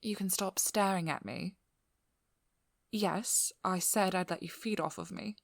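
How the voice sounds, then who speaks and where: A woman playing a stern, wry, protective vampire hunter in an intimate ASMR role-play, speaking in a measured, firm voice that often drops to a whisper. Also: on the low side, lively intonation, gravelly, no room sound, studio quality. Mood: firm, explanatory. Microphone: close microphone.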